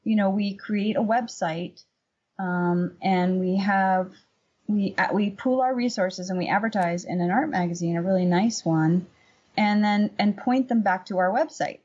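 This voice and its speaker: Husky lady chatting as a podcast guest, casual answers, kinda dull lo-fi audio. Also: medium pitch, a little slow, a little mellow. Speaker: podcast guest, painter and workshop teacher. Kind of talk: casual conversational interview answers.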